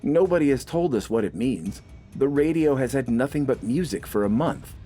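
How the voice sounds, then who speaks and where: A man's voice: medium pitch, expressive, moderate pace, dark tone, faint music behind, voice pushed up, lightly acted. A man voicing a naive, frightened young country soldier in a science fiction story, with breathless, stammering exclamations. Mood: indignant, confused. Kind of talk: dramatized science fiction audiobook dialogue.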